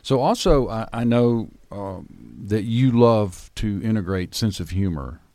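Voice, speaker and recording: A man's voice: fairly low pitch, measured pace, warm tone, no room sound. A man hosting an arts radio interview in a folksy, informal, conversational way. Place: university building studio.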